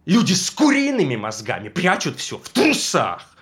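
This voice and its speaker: Актёр играет ревнивого, жестокого мужа, который издевается над женой и то шипит, то срывается на крик. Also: male voice, on the high side, wildly swooping pitch, normal speed. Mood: taunting, raging. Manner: mocking, swings from menacing whispers to shouting. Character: jealous abusive husband tormenting his wife. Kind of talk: stage drama performance.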